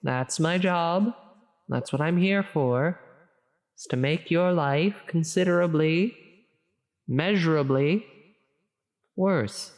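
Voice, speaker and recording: A woman voicing a grandiose female demon in an ASMR audio roleplay, theatrical and formal, with halting delivery. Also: low-pitched, a little mellow. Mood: offended, boastful. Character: menacing female demon, grandiose, increasingly flustered and concerned. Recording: long cavernous reverb.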